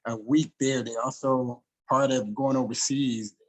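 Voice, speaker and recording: A man's voice: mid-range voice, steady tone, unhurried, rough recording. A man hosting a casual interview, talking in an easygoing way with frequent "you know" fillers. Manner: easygoing, uses "you know" and "I guess you could say". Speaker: podcast host.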